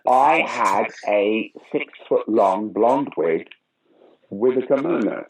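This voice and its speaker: A man's voice: lowish voice, takes it slowly, slightly rough, rough recording. An older British man, a pantomime dame actor, telling stage stories in a casual interview. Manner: storytelling, animated anecdotes.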